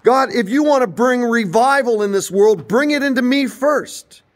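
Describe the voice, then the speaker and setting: Earnest guy in his 40s preaching into his phone in an empty old church, kinda high voice. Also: normal speed. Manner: earnest, preaching, rises and falls in pitch. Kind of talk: informal video sermon. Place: inside an empty, run-down church building. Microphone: phone held at arm's length.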